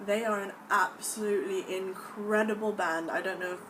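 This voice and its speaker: A woman's voice: mid-range voice, steady tone, moderate pace. American woman putting on a British accent. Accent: put-on British accent. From United States. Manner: gushing, enthusiastic. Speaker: vlogger. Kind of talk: casual talk to camera. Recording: voice a bit distant from the mic, faint background noise.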